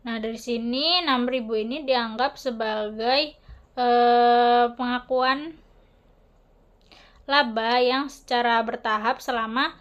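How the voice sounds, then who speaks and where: A young adult woman giving an explanatory class presentation as a student, with a halting, hesitant delivery. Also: a little high, fairly even intonation, a bit bright, clear, resonant tone, muffled recording.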